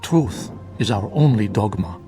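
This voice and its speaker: An actor playing a grave, fervent older monk in a radio drama, speaking in formal, measured, preachy phrases. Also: male voice, on the low side, animated, dark tone, faint music behind. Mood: grave, assured. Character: solemn bearded older monk, fervent guardian of truth. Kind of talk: classic radio drama acting.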